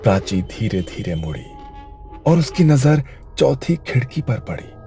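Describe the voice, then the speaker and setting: A man's voice: medium pitch, sing-song, measured pace, mellow, faint music behind. A man narrating a scripted horror story in a suspenseful storytelling manner.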